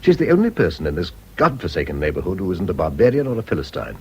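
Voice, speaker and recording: A man's voice: low voice, wildly swooping pitch, fast talker, mellow, slightly rough, heavily acted. An actor playing a worldly, cynical middle-aged Englishman in a radio drama, urbane and patronising. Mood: sardonic, teasing.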